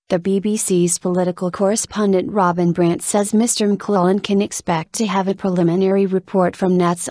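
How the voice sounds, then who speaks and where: A woman's voice: lowish voice, darkish tone, no echo, studio quality. A woman reading a written news article as formal scripted narration.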